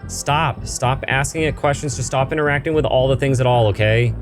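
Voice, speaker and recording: Male reader as edgy young woman, higher-pitched delivery, impatient, urgent, game dialogue, music behind. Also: fairly quick, natural voice. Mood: angry, panicked. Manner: urgent, pleading, snapping. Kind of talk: visual novel character read-aloud.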